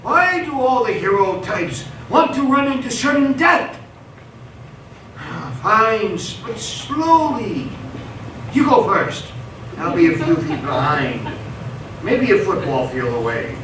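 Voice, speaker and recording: A man's voice: sits high, animated, slow, a bit bright, exaggerated voice. An actor playing a grumbling, sarcastic, reluctant male supervillain with gadgets in a live radio play. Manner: sardonic, exasperated. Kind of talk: live radio theatre acting. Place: stage performance in a roomy hall. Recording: echoey hall, distant mic, faint noise.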